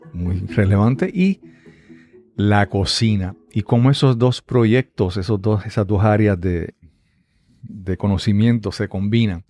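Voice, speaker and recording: A man hosting a podcast interview, asking rambling friendly questions and often repeating words. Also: lowish voice. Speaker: podcast host.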